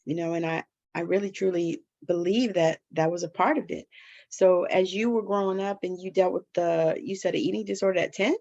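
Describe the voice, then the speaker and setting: Woman host, low-pitched, affirming and chatty, on slightly muffled lo-fi audio. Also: steady tone, fairly quick, darkish tone. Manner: affirming, frequent laughs and "mm-hmm". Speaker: podcast host. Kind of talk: interview conversation.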